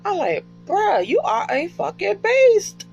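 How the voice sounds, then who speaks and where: An American man chatting casually in a rambling, slangy, profane and excitable way, like a fan telling friends a story. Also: very high-pitched, takes it slowly, creaky. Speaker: podcast fan reviewing favourite shows. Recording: dull lo-fi audio with music behind.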